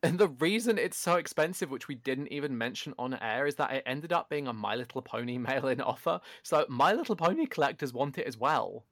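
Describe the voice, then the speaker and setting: A man co-hosting a podcast with a gravelly edge to his voice and a loud, animated way of talking. He pokes fun at himself as he chats. The sound is close and dry, with no room around it. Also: medium pitch, a little fast, hardly any pauses, dry recording. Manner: animated, self-deprecating, loud. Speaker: podcast co-host. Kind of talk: casual podcast chat. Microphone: home podcast microphone.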